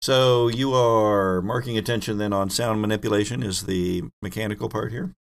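A man with a gravelly, creaky voice, running a tabletop roleplaying game as host and game master. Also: on the low side, steady tone, normal speed.

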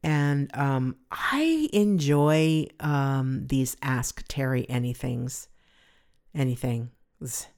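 A middle-aged woman with a deep, resonant voice hosting her own podcast, rambling in a chatty, self-deprecating way. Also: very slow, a little mellow. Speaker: podcast host. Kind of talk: casual solo podcast talk.

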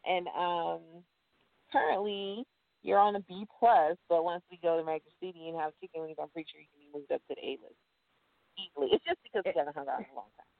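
A co-host teasing with animated, laughing delivery in casual podcast banter. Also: mid-range voice, fast talker, creaky, dry recording. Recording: dry phone-line sound.

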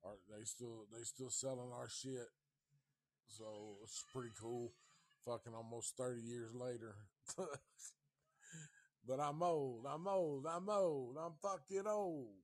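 A man's voice: mid-range voice, dry recording. A fifty-year-old man from Tennessee hosting a casual livestream chat, rambling and swearing in a laid-back way. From Nashville, Tennessee. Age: 50s. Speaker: livestream host.

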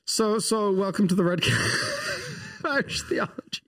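Wry man co-hosting a podcast, higher voice. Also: wildly swooping pitch, slow pace, a bit bright, breathy edge. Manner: wry, self-deprecating, rambling. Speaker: podcast co-host. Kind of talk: casual chat.

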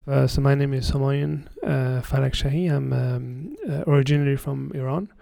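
A man from France, a guest analyst giving explanatory interview answers, halting with frequent restarts. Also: medium pitch, steady tone, vocal fry, dark tone, dry recording, studio quality.

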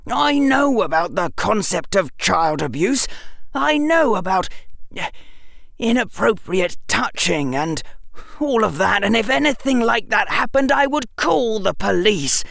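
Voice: no echo, studio quality. A performer voicing a precocious, indignant young boy, emphatic and fiercely defensive. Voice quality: shaky, climbing toward shrill. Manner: emphatic, articulate, argumentative.

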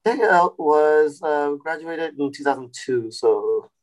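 A man in his forties, a chef and restaurant founder, telling his story in a relaxed podcast interview with many fillers and restarts. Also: on the high side, a little slow, poor audio. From Sacramento, California. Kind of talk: casual interview.

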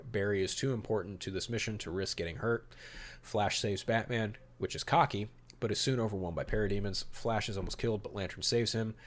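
A man hosts a podcast in a fairly low voice. He shifts from reading out summaries to casual, opinionated commentary, stressing his points emphatically and swearing mildly. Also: steady tone, fairly quick. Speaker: podcast host. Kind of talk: podcast talk mixing read-out storyboard summary and casual commentary.

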